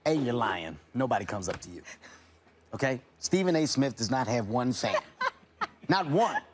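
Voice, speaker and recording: Male, teasing and emphatic banter, very lively intonation, noisy clip audio. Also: mid-range voice, moderate pace. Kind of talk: mocking banter.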